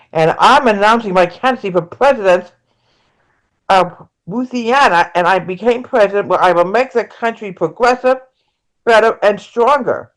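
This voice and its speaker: Progressive woman candidate making campaign speeches, read by a woman at a table read, mid-pitched, plain delivery. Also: natural voice, rough recording. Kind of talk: amateur table read of a play.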